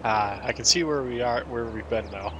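A man's voice: medium pitch, normal speed, muffled recording, noisy background. A man playing along on a gaming stream, easygoing and matter-of-fact in casual chat. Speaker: co-player on stream.

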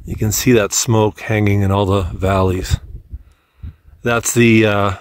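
A man in his forties casually narrating a mountain drive and summit walk, unhurried with pauses, recorded on a handheld camera. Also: fairly low pitch. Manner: relaxed, unhurried commentary with pauses. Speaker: vlogger. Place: in a vehicle, then outdoors on a mountain summit. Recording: outdoor camera audio with noise.

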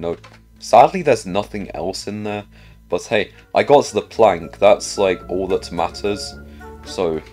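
A man's voice: low voice, darkish tone. A man giving casual gameplay commentary as a video host, talking to himself and asking himself questions as he plays.

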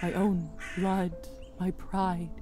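Slow, heartbroken mother. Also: fairly low pitch, level tone, music in the background. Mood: sorrowful. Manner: halting, faltering, solemn. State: grief-stricken, voice breaking. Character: heartbroken mother burying her daughter. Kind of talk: dramatic game voice acting.

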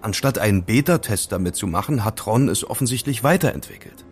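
Low-voiced, wry male amateur sleuth. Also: lively intonation, brisk, faint music behind. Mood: explaining. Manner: conversational, wry. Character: casual young male amateur sleuth, recounting intrigue, wryly teasing. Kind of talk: German audio drama dialogue.

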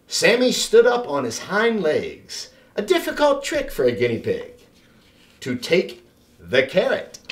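A middle-aged man reads a children's story in a high voice that swings widely up and down. His delivery is theatrical and deliberate, and the room adds a clear echo. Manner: expressive, theatrical, deliberate phrasing. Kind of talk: animated read-aloud for children. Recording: roomy, echoing sound.

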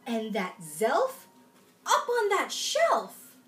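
A young adult woman reading aloud at a children's storytime, expressive and sing-song, with little asides to young listeners. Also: sits high, very slow. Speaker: children's storytime reader in princess costume. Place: small room at home, indoors.

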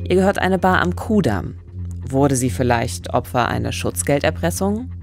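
A woman's voice: extremely low pitch, lively intonation, moderate pace, gravelly, soft background music. A woman in her thirties hosting a true-crime podcast, asking questions and reacting with interest into a studio microphone. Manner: engaged, asks questions, reacts with surprise. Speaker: podcast host. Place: small radio studio. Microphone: studio condenser mic, headphones.

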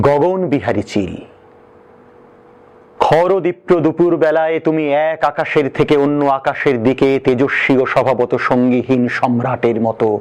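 A man giving a dramatic poetry recitation in a solemn, deliberate manner with weighty pauses. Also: mid-range voice.

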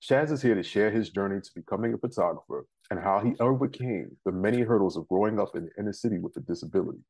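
A man's voice: on the low side, quick pace, a little mellow, husky, low-quality recording. An American man in his thirties hosting a talk show, moving between introductions and casual interview chat. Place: living room couch at home, indoors.

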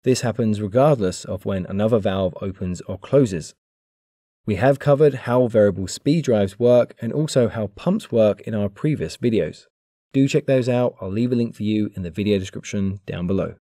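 A man giving a clear, measured, scripted narration for an engineering explainer video. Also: fairly low pitch, mellow, dry recording, studio quality. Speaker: engineering educator.

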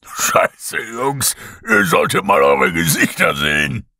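Man doing a gravelly joking sailor. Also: a little high, huge pitch swings, slow, bright, forceful tone, no room sound, studio quality, pitched above the performer's own voice, heavily acted. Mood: mocking.

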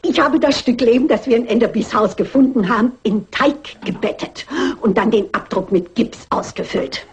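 An actress playing a shrewd, stubborn elderly lady detective in a German crime radio drama, brisk and precise. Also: fairly high pitch, lo-fi sound, slight background noise, natural voice. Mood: shrewd, confident. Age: older. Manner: brisk, self-assured, precise.

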